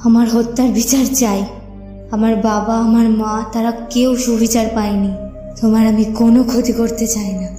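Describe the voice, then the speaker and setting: A woman's voice: a little high, little pitch movement, moderate pace, dark tone, lightly acted. A woman playing a sorrowful, wronged young woman's ghost in a cartoon horror story, plaintive and solemn. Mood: pleading, sorrowful. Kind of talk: dramatic audio story acting for cartoon. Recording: echoey, long reverb, music behind.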